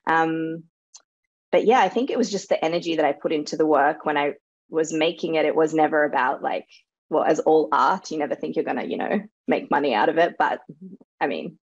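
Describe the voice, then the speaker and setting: A woman's voice: sits low, fairly quick, husky, no echo, lo-fi sound. A woman who grew up in Australia, whose first language is Serbo-Croatian, chatting casually as a guest author, laughing easily and using lots of fillers. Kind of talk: casual interview chat.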